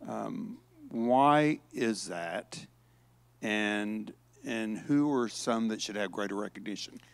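A man's voice: lowish voice, takes it slowly, creaky, clean tone, dry recording. Man interviewing on stage, dry sound. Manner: prompting questions with restarts. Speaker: interviewer, event co-founder. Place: conference stage, indoors. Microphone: handheld mic.

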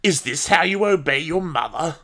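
A man's voice: on the high side, expressive, pushed and bright, pitched above the performer's own voice. A man voicing an imperious old woman who taunts and threatens with cold control in an audiobook story. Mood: commanding. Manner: commanding, taunting, deliberate.

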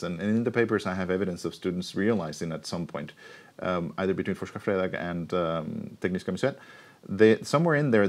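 A deep-voiced man in his forties explains his work at an unhurried, thoughtful pace. He pauses and restarts as he thinks. He is recorded on a clip-on mic in a studio. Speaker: university researcher, keynote guest. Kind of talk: studio interview answers. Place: TV studio set. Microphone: lapel mic.

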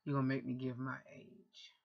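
A woman's voice: very deep voice, monotone, moderate pace, vocal fry, dull audio. A woman in her thirties answering viewer questions in a casual, rambling vlog from her bedroom, recorded on a close webcam. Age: 30s. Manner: chatty, rambling, self-correcting. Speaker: vlogger and radio host. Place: bedroom, indoors. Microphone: webcam at close range.

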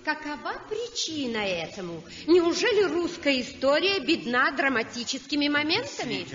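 A woman's voice: high-pitched, sing-song, normal speed, crowd noise. An actress playing an affected society lady at an art exhibition in a 1960s radio drama, gushing and dropping French words. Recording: dull old radio recording with hall echo.

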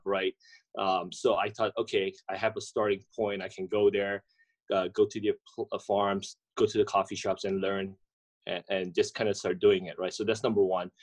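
A man, speaking as a guest over a video call, halting and full of fillers and restarts. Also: lowish voice, rough recording. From Indonesia. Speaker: guest, coffee entrepreneur. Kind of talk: conversational interview answers. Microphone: webcam or laptop mic on video call.

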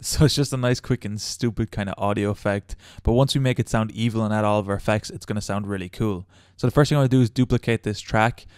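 A man's voice: lowish voice, steady tone, fast, hardly any pauses, a little mellow, smooth, no echo, clean studio sound. A young man hosting a casual step-by-step tutorial as a voice-over. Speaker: tutorial host.

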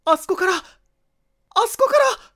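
A man reading a mystery story, voicing a panicked young man who shouts and stammers. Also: very high-pitched, expressive, a little slow, vocal fry, pitched above the performer's own voice, heavily acted.

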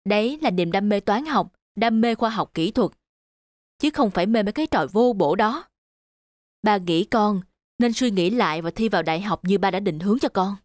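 A woman's voice: mid-range voice, moderate pace, a little mellow, no echo, clean studio sound, close to an everyday voice. A woman voicing a stern, demanding middle-aged father in a cartoon, with a firm, lecturing manner. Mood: stern, angry. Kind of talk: cartoon dubbing.

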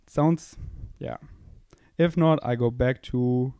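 A man's voice: medium pitch, slow, mellow, clear, resonant tone, dry recording. A young man hosting a podcast, rambling in a playful, self-mocking way as he thinks aloud, seated indoors and speaking into a handheld microphone held close. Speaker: podcast host. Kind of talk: casual rambling monologue. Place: indoor room, seated on a couch.

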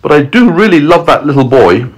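A man's voice: on the high side, sing-song, a little fast, husky, pitched above the performer's own voice, low-quality recording. A man voicing a tearful, sentimental earthworm in a storybook, plaintive and lamenting. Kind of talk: storybook character voice.

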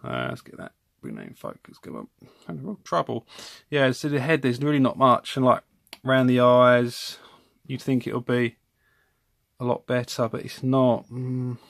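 A man's voice: medium pitch, animated, normal speed, vocal fry, a bit bright, no room sound, clean studio sound. A man casually reviewing a collectible figure at home, talking from behind the camera in a rambling, self-correcting way. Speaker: toy reviewer. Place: home room with toy shelves.

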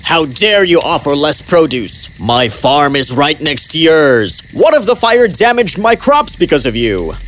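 A man playing an angry, indignant villager in an animated storybook, speaking in a confrontational, emphatic way. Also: fairly high pitch, a little slow, few pauses, slight background noise, low-quality recording. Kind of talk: animated storybook character acting.

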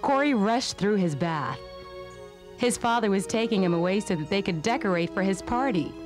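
A woman's voice: medium pitch, expressive, moderate pace, music in the background. A woman reading scripted narration for a children's storybook. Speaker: storybook reader.

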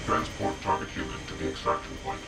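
A man's voice: a little fast, very noisy, close to an everyday voice. A man voicing a commanding robot soldier in a video game, giving terse military battlefield orders. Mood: cold, focused.

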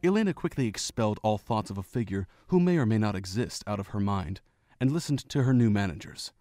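A man narrating a fan audio drama in a steady storytelling way. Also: lowish voice, fairly quick, dry recording, soft background music.

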